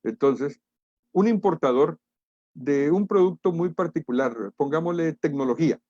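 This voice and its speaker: A middle-aged man with a Guatemalan accent giving an explanatory webinar lecture in a deliberate, emphatic manner. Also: on the high side, warm tone, no echo, muffled recording. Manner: deliberate, emphatic, rhetorical questions. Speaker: international trade lecturer and expert.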